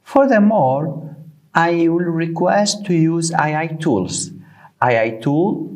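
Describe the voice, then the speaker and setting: Slow, animated man teaching. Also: a little high, poor audio. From Tunisia. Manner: slow and deliberate, repeating words for the learner; non-native English phrasing. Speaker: Arabic teacher. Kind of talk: beginner language lesson.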